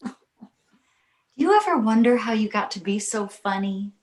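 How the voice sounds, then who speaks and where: A woman playing a doting young mother with a soothing, reasoning manner, in an animated comedy table read. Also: mid-range voice, a little slow. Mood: patient. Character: doting, placating young mother of a baby boy. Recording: echoey room.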